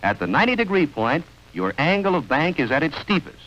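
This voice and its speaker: A man reading scripted 1940s training film narration in a measured, instructional, clearly enunciated manner. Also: a little high, very animated, a bit bright. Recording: dull, band-limited vintage film soundtrack.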